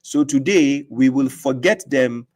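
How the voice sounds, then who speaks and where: A man slowly reading and explaining scripture. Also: mid-range voice, darkish tone. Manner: reads scripture aloud with slow emphasis and explains it; asks rhetorical "right?" often. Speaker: host and religious teacher. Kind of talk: live-stream religious explanation.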